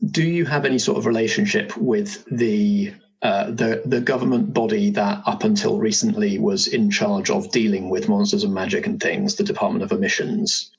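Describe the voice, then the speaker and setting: A man's voice: lowish voice, steady tone, moderate pace, creaky, hardly any pauses, breathy edge, lo-fi sound. A man hosting as game master, casually asking questions and guiding a player through a character. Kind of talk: casual guided interview about a game character.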